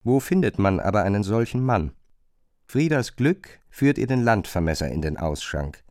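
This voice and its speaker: A man narrating a literary radio drama in a measured, pointed, questioning manner. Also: fairly low pitch, big pitch swings, a little mellow, no room sound, studio quality.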